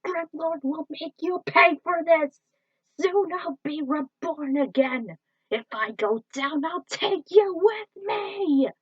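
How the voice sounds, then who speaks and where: A woman's voice: very high-pitched, animated, pitched above the performer's own voice, exaggerated voice. Woman acting a gloating monster villain, dull recording. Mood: furious, defeated. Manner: drawn-out taunting, declamatory. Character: gloating, theatrical monster villain of an air fortress.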